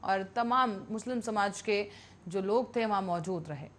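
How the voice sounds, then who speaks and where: A woman's voice: medium pitch, normal speed. A woman reading scripted news as a television anchor.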